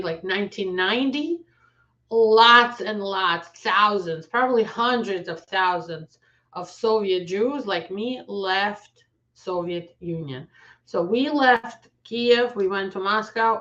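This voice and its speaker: A woman's voice: medium pitch, fairly bright tone, muffled recording. A woman in her forties, an immigration lawyer from Ukraine, telling her own family story in an earnest, conversational way. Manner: earnest, conversational, with self-corrections. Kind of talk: personal story to camera.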